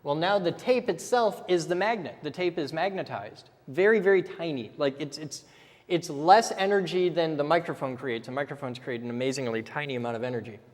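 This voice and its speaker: A man's voice: on the high side, a little fast, big-room sound. A man teaching step by step in a casual way, often checking in with "okay?" as he goes. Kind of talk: casual technical explanation while drawing.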